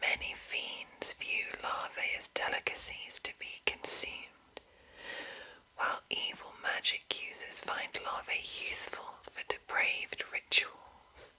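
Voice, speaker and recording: A woman whispering softly and deliberately in an ASMR style, reading aloud close to the microphone with a breathy, unvoiced voice. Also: low-quality recording. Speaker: ASMR host reading a monster manual.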